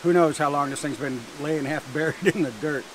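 A man's voice: on the high side, fairly quick. An American man casually narrating his motorcycle camping trip with dry, rambling humor, outdoors and in a tent. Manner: laid-back, dry humor, rambling self-talk. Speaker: moto camping vlogger. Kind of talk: casual vlog narration to camera. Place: tent and campsite outdoors by a creek in the rain. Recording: distant camera mic with rain hiss.

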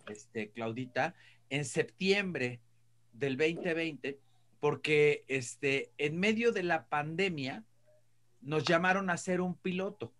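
A middle-aged Mexican man giving casual opinions as a talk show panelist, halting and rephrasing as he goes. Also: on the high side, a bit bright. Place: indoor room, on a video call. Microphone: video call.

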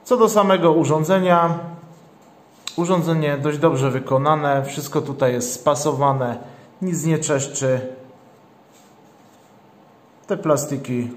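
A man with a slightly high voice presents a product as an unboxing host. He speaks very slowly in short, halting, matter-of-fact phrases. The sound is roomy, with clear echo from an indoor space. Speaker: product reviewer, channel host. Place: indoors, tabletop product shoot.

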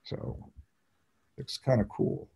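An older man chatting casually on a video call, plain-spoken and halting. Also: dark tone. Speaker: actor in a community theatre production. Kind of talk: casual reflective chat. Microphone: video call computer mic. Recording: muffled video call audio.

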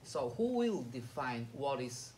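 A man's voice: medium pitch, very animated, slow pace, darkish tone, far from the mic. Bulgarian man in the audience, insistent, handheld mic heard from afar with a bit of noise. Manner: insistent, repeating questions. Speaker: audience member asking a question. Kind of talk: pointed question from the floor. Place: conference room. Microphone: handheld microphone, camera far off.

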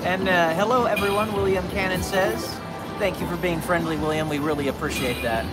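A man's voice: high-pitched, a little fast. Man reading chat over slot music. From California, USA. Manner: friendly, reads out viewer messages. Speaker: slot streamer host. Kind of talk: reading live chat on a casino stream. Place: casino floor. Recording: loud casino machine music behind. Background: loud slot machine music.

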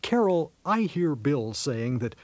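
A man plays an earnest marriage counselor in radio comedy. His voice is a little high and moves around a lot in pitch, with measured therapist manners. The sound is dry and close. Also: sing-song, a little mellow, no echo. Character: soothing male marriage counselor who translates jargon. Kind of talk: radio sketch comedy acting.

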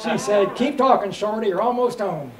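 A man's voice: sits high, normal speed, over a noisy crowd. A middle-aged man performing stand-up comedy into a handheld mic, telling stories and addressing the room. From Kentucky, United States. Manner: storytelling delivery, projected to the room. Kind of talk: stand-up comedy routine with crowd work. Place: small comedy club stage, indoors. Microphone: handheld microphone. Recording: echoey club stage, clearly noisy.

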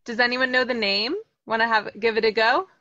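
Bright, upbeat American woman teaching. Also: fairly high pitch, steady tone, brisk, no echo. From Los Angeles, California. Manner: upbeat, encouraging, asks the audience questions. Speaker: plant educator. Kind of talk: interactive online lesson. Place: greenhouse, indoors. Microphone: video call, laptop or webcam. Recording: slightly dull video call audio.

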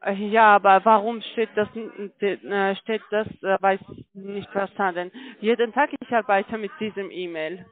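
A woman speaking as a non-native call centre agent on the phone, halting and insistent, in broken sentences. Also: medium pitch, steady tone. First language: not German. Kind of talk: unscripted phone conversation. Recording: muffled narrow phone line.

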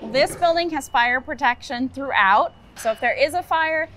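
A woman's voice: high voice, moderate pace, sharp tone. A woman in her thirties, a project architect, explaining construction steadily in an outdoor interview at a building site.

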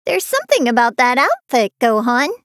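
A woman's voice: on the high side, very animated, normal speed, a bit bright, dry recording, clean studio sound. An American actress voicing a feisty, confident teenage girl martial artist with brash, emphatic delivery for an anime fighting game. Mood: confident, friendly.

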